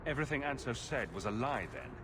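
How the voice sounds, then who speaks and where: An actor playing a confident human adventurer for hire in a fantasy video game, direct and questioning with a wry edge. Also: male voice, medium pitch, expressive, quick pace, background noise, natural voice. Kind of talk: video game cutscene acting.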